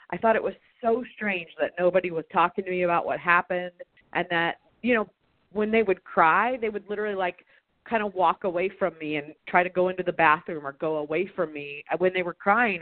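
Woman on a phone-like line, recounting haltingly. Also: lowish voice, no room sound. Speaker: interview guest, survivor recounting own experience. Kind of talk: personal first-person recollection in an interview. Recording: tinny narrow phone-like sound.

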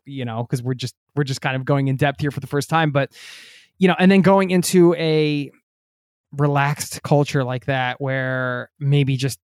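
A man's voice: medium pitch, normal speed, clean tone, no room sound, studio quality. A man from the United States hosting a podcast, chatty and rambling in casual conversation, laughing often. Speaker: podcast host. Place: home in Norway.

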